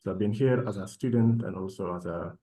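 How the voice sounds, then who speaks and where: A man from South Sudan speaking as a panelist in an online session, with measured, formal phrasing. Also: low voice, mellow. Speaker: panelist and program alumnus. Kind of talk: panel talk, self-introduction.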